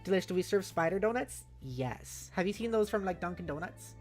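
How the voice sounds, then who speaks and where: A woman's voice: fairly low pitch, lively intonation, a little fast, darkish tone. A woman hosting a casual livestream, playful and sweary, reacting aloud with music behind her. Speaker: livestream host. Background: faint music.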